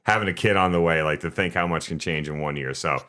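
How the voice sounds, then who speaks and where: A man's voice: sits low, quick pace, pushed and bright. A man co-hosting a sports podcast, chatting casually and joking with laughs. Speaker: podcast co-host.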